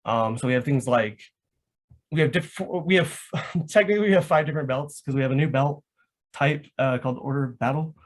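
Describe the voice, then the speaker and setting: A man's voice: medium pitch, fairly quick. An American man from Florida explaining things in a casual, rambling way, often padding with "like". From Florida, United States. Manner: rambling, filler-heavy with frequent "like". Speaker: guest, live action roleplay organizer.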